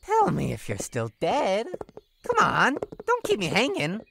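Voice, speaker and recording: A woman's voice: mid-range voice, wildly swooping pitch, unhurried, a bit bright, a little hiss or hum, full character voice. A woman voicing a playful, teasing and sinister clown-like jester demon in a horror visual novel game, with theatrical sing-song delivery. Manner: theatrical sing-song teasing, sudden swoops into breathy menace.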